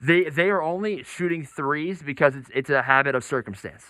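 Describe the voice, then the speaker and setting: A male sports radio host with a fairly high, very bright voice. He debates basketball insistently and pushes back on points, sounding crisp and close in a dry studio sound. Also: normal speed, no room sound. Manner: argumentative, insistent.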